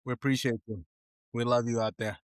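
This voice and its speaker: A man's voice: medium pitch, steady tone, brisk, dry recording. A man hosting a podcast interview, talking casually in a loose, rambling way. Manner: rambling, loose, full of fillers and restarts. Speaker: podcast host.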